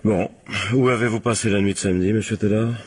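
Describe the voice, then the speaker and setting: An actor playing a shrewd, confident police captain in a French radio crime drama, methodical, probing and wryly polite. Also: male voice, fairly low pitch, steady tone, quick pace, natural voice.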